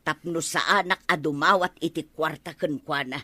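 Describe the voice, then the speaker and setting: A woman's voice: sits low, normal speed, bright, forceful tone, dry recording. A woman playing an aggrieved, feisty village wife in an Ilocano radio comedy drama, speaking emphatically and expressively with an Ilocano accent. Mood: aggrieved, scolding.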